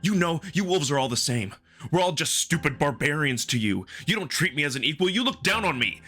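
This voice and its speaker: A man's voice: on the high side, sing-song, brisk, sharp tone, faint music behind, exaggerated voice. A man playing a hurt, stubborn human in a visual novel drama, blunt and confrontational in his delivery. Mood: furious, hurt.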